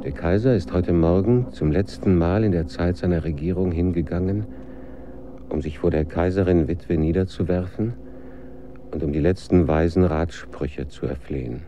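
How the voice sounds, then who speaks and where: Radio drama narrator: solemn male court chronicler played by a man, very low voice, clearly noisy background. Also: normal speed, close to an everyday voice.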